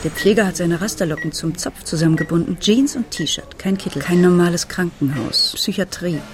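A woman's voice: fairly low pitch, fairly quick, noisy background, natural voice. An actress playing a pensive, troubled mother who narrates her family's story in restrained, literary radio drama style.

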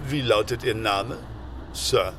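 An actor playing an impersonal, impeccably formal butler in a radio drama, polite and reserved. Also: male voice, fairly low pitch, slow, a little hiss or hum.

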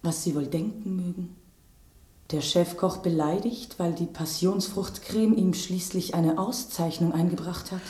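A woman's voice: lowish voice, fairly even intonation, a little slow, mellow, roomy sound, natural voice. An actress playing a genteel, squeamish lady in a radio play, speaking with refined diction and anxious drama. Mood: anxious suspense. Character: genteel, anxious lady dreading a culinary murder.

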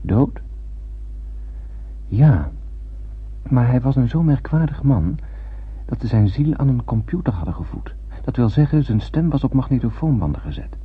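An actor playing an astronaut who narrates a solemn space tale in poetic, measured radio play style. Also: male voice, mid-range voice, big pitch swings, slightly rough. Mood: reflective. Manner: poetic, measured literary storytelling. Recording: muffled phone-like old radio recording, noisy.

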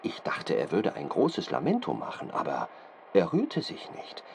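A man playing an earnest, fastidious doctor who gives detailed expert testimony in a deliberate, halting, precise manner. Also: low-pitched, wildly swooping pitch, gravelly, a little mellow, slight background noise, exaggerated voice. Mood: earnest, fascinated. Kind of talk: period-drama studio interview, detailed account.

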